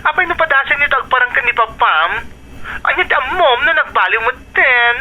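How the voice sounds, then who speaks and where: A woman's voice: high voice, lively intonation, fairly quick, lo-fi sound. A woman voicing a chatty friend on the phone who keeps urging her friend to come home, in a radio drama. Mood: coaxing.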